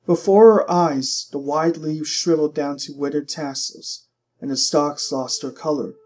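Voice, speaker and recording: A man reading fiction aloud as a narrator, in an expressive, dramatic storytelling style. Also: a little high, unhurried, heavy background noise. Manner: expressive, literary storytelling delivery.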